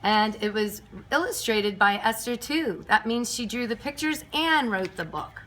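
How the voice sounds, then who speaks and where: A woman reading a picture book aloud to nursery school children, expressive and emphatic, with chatty asides. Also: fairly high pitch, measured pace, slight background noise, rough recording. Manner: emphatic, clearly paced for young children.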